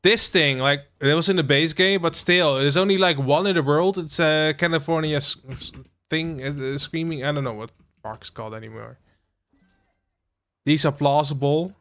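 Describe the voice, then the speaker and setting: A young man from the Netherlands hosting a relaxed game livestream, rambling with lots of fillers and asides to his chat. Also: on the high side, normal speed. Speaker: livestream host. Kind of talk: casual live-stream chat while playing a game.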